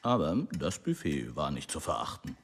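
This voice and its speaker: Genial, deferential husband for radio drama: extremely low, rough-edged voice, unhurried. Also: male voice, huge pitch swings, heavily acted. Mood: agreeable. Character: genial, agreeable American husband, deferring to his wife. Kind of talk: German radio drama acting.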